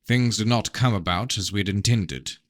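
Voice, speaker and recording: Man voicing a measured, scheming fantasy prince, low-voiced. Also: dry recording, clean studio sound, close to an everyday voice. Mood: coolly unrepentant. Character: evasive, calculating prince, scheming and unrepentant. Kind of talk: dramatised novel reading.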